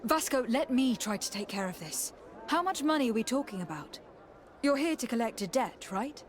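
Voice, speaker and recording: A woman's voice: fairly high pitch, expressive, moderate pace, a little hiss or hum, natural voice. A woman playing a composed, articulate, authoritative noble diplomat in a video game. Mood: firm.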